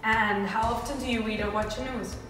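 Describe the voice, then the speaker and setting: A woman's voice: on the high side, fairly even intonation, brisk. Upbeat woman interviewer, echoey hall. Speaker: student playing a survey reporter. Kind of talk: scripted mock news interview. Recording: echoey hall from far off, music behind.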